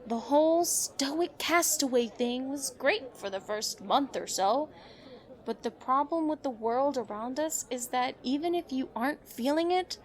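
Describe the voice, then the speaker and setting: Woman playing young vigilante sidekick, high voice, sassy, frank, teasing, supportive, audio drama.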